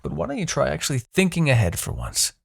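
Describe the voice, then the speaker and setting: Man voicing a gravelly, imperious demon king. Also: medium pitch, wildly swooping pitch, exaggerated voice. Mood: smug, threatening. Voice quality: gravelly, husky. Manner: theatrical, deliberate, imperious, with dramatic pauses. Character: proud vengeful demon king, grandiose, coaxing, menacing. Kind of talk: fantasy ASMR roleplay monologue.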